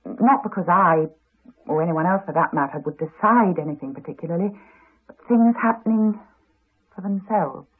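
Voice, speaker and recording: A muffled old radio drama recording of an earnest English woman. She speaks in a refined, insistent way, with a breathy husk to the voice. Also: fairly low pitch, animated, normal speed. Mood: quietly intense. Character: earnest, insistent woman drawn to a haunted house. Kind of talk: 1980s radio drama acting. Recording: muffled, band-limited 1980s radio recording.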